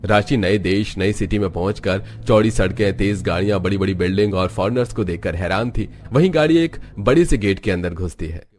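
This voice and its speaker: A man's voice: low-pitched, brisk, few pauses, a little mellow. A man narrating a scripted cartoon family story.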